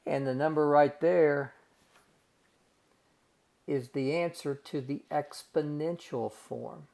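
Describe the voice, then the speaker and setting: A man's voice: on the high side, steady tone, takes it slowly, creaky, darkish tone. A man teaching a recorded math lesson, working through calculations step by step in a folksy way and calling his students guys and gang.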